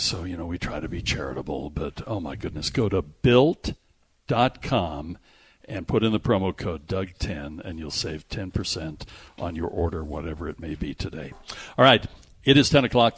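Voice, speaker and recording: Male radio show host, very low and a bit husky, chatty and effusive with emphatic delivery. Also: lively intonation, normal speed. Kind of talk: live radio banter and sponsor reads.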